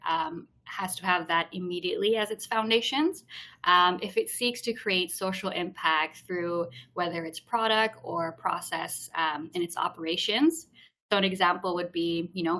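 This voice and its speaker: A woman's voice: lowish voice, sharp tone. A woman in her thirties from Hong Kong, a program associate giving a friendly, explanatory presentation in a live webinar, with frequent "um" fillers.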